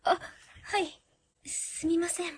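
A woman playing a timid, apologetic young office worker in a radio drama, quiet and hesitant. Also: very high-pitched, fairly even intonation, slow pace, no room sound. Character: timid, apologetic soft-spoken female junior office worker. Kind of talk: comedic web radio drama acting.

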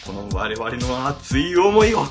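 A man's voice: mid-range voice, sing-song, measured pace. Middle-aged bureaucrat boss, flustered and ingratiating, swooping intonation, played by a man over faint music. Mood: nervous, fawning. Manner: chatty, easily flustered, ingratiating. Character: flustered, two-faced middle-aged male civil servant boss. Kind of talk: comic web radio drama acting.

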